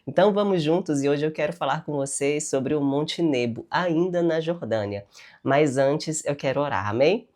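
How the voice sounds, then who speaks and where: A woman's voice: low voice, normal speed. Stuffy-nosed Brazilian woman with a cold. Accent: Brazilian Portuguese. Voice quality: nasal. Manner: warm and chatty, with frequent tag questions. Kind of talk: devotional talk with spoken prayer.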